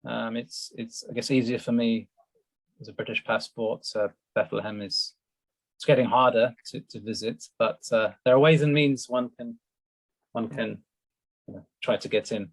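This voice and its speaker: A man's voice: mid-range voice, fairly even intonation, moderate pace, no echo, rough recording. Man on a panel, careful and precise, dry close sound. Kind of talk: panel discussion.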